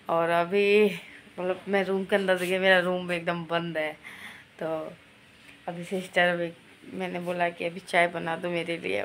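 Hoarse young woman vlogging on her phone. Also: lowish voice, fairly bright tone, a little hiss or hum. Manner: chatty, walking and filming herself while doing chores. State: hoarse sore throat. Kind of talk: casual vlog chat. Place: home kitchen and open rooftop. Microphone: phone held at arm's length.

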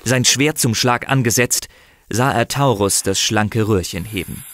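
A man narrating a scripted fantasy story dramatically, with measured, suspenseful phrasing and pauses. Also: fairly low pitch, fairly bright tone. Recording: slight background noise.

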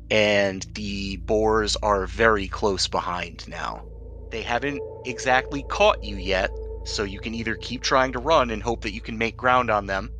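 A man's voice: on the low side, pushed and bright. A man running a tabletop game, narrating scenes and explaining rules in an improvised way. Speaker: game master of a tabletop RPG podcast.

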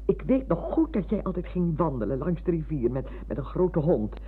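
An actress playing a warm, chatty older former schoolteacher in a Dutch radio play, full of exclamations. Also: female voice, low-pitched, big pitch swings, moderate pace, slight background noise. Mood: delighted, reminiscing. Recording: muffled lo-fi old radio recording.

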